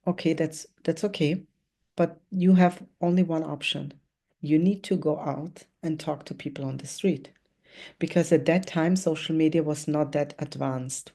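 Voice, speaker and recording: A woman in her thirties from Austria tells her story as a network marketing speaker, her voice low and warm. She speaks earnestly and reflectively, with small hesitations, over a video call. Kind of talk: motivational talk about her life. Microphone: video call, remote.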